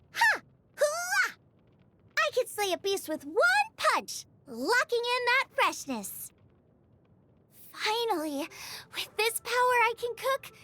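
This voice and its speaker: Teenage girl game chef, very high-pitched, highly animated intonation, bubbly, earnest, enthusiastic. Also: heavily acted. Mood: excited. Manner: perky, earnest, enthusiastic, expressive. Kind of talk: scripted video game character voice lines.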